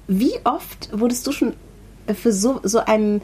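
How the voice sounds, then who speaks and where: A woman's voice: a little high, measured pace, warm tone, slightly rough. A woman hosting a podcast, asking questions in a casual, encouraging way with hesitant fillers. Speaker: podcast host.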